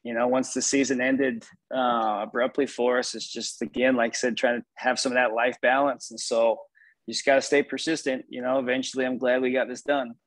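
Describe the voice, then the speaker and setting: Middle-aged man, guest on a call, reflective and measured, even monotone voice, dry close sound. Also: mid-range voice, dry recording. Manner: measured, reflective, many "you know" fillers. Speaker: sports performance executive, guest. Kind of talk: casual interview over a call.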